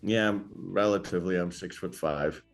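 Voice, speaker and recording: A man's voice: deep voice, normal speed, vocal fry, faint music behind. A man in his thirties from South Africa, a native Afrikaans speaker with an American accent, chatting informally and hesitantly as an interview guest. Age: 30s. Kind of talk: casual interview chat.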